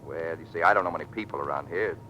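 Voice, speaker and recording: Fast-talking, proud young Navy pilot. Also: male voice, a bass voice, sharp tone, lo-fi sound. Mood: polite, awkward. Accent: American. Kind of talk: old-time American radio drama acting. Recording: noisy vintage radio recording.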